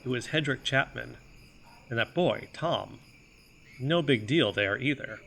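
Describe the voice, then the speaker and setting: A man's voice: medium pitch, expressive, unhurried, vocal fry, fairly bright tone. An actor playing an earnest, brooding amateur investigator who narrates his own conspiracy-minded story in an audio drama. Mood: musing. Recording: faint background noise.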